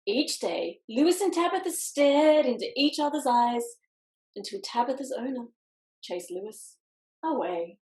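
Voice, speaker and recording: Female storytime reader, lively swooping delivery, expressive pauses, slightly roomy video-call sound. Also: a little high. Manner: expressive, dramatic pauses and emphasis on words. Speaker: picture book author reading aloud. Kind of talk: animated children's storytime reading with quiet asides. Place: indoors, online video call in an echoey room.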